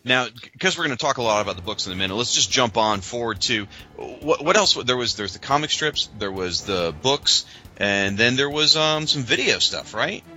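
A man's voice: mid-range voice, lively intonation, normal speed, sharp tone, faint music behind. A man co-hosting a podcast, steering a casual conversation in an informal, emphatic way. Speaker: podcast co-host.

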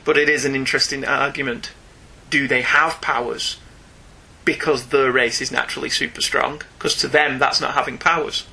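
A man hosting a podcast, chatting casually and reading out listener emails in a chatty, animated way. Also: medium pitch, moderate pace, creaky, rough recording. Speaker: podcast host.

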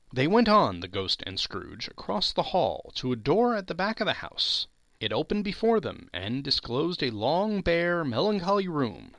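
A man's voice: fairly high pitch, bright, forceful tone, no echo. A man reading a classic story aloud as dramatic narration, theatrical and emphatic.

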